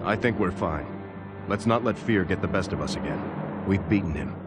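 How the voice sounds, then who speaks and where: An actor playing a steadfast, humane soldier superhero in a video game, firm and reassuring in manner. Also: male voice, sits low, moderate pace, over loud music, lightly acted. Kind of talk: video game cutscene acting.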